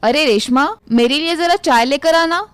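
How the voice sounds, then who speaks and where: A woman's voice: high-pitched, moderate pace, no echo, close to an everyday voice. A woman voicing a scornful, bossy middle-aged mother-in-law in a story, with a nagging, sarcastic manner. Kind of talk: Hindi moral story dialogue.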